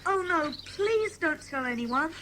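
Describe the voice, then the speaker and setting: A woman's voice: high voice, animated, a little slow, bright, forceful tone, a little hiss or hum, exaggerated voice. A woman acting a timid, earnest young boy in a dramatised children's audiobook, hesitant and worried.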